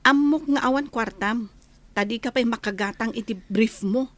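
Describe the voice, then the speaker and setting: A woman playing a young wife and mother in an Ilocano radio drama, argumentative and fed up. She speaks in a high voice with an Ilocano accent. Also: moderate pace, dry recording, natural voice. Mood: quarrelsome.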